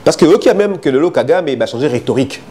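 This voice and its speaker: Measured Congolese man debating. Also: fairly high pitch, expressive, warm tone. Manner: measured, explanatory, many hesitations. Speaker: debate guest. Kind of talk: political debate in French and Lingala.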